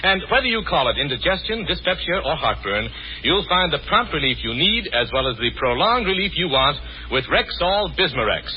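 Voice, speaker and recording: A man's voice: fairly high pitch, slight background noise. A male radio announcer reading scripted advertising and narration in a 1940s style. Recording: muffled 1940s radio recording.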